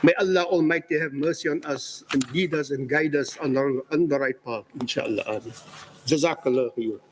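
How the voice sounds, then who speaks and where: A man giving an earnest, emphatic religious speech, quoting scripture slowly. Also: mid-range voice. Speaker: speaker. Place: large hall at a religious convention. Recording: echoey hall with long reverb.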